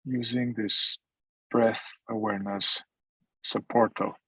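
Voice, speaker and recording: Male meditation guide, husky and very even in pitch, gentle phrasing with long pauses. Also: no echo, poor audio. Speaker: meditation teacher. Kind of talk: guided meditation, mindfulness instruction.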